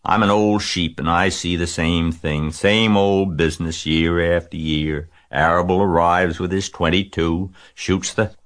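A man's voice: deep voice, steady tone, unhurried, few pauses, a bit bright. A man voicing a grim old female sheep in a storybook, matter-of-fact, gloomy and snappish. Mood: blunt.

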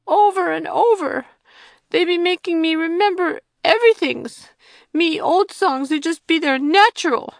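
A woman reading an audiobook, voicing a tiny frightened toddler girl who talks in high, wailing, childlike broken grammar. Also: measured pace, creaky, no room sound, clean studio sound, heavily acted. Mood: unhappy.

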